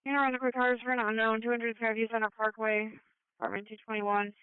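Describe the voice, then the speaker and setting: Female emergency dispatcher, very clean smooth voice, heard dry through a narrow phone-like radio channel. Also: a little high, little pitch movement, a little fast, no echo. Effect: two-way radio transmission. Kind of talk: police and EMS radio traffic. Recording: tinny, phone-like scanner radio feed.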